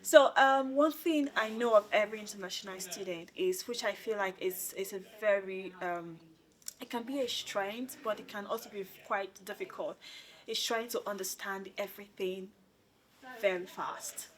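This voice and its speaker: A woman's voice: mid-range voice, normal speed. A young woman hosting an informal interview as a student union president, chatty and repeating phrases for emphasis. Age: young adult.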